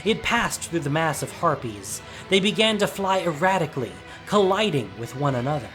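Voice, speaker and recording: A man's voice: fairly high pitch, sing-song, normal speed. Man narrating dramatic fantasy over music. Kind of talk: scripted dramatic story narration.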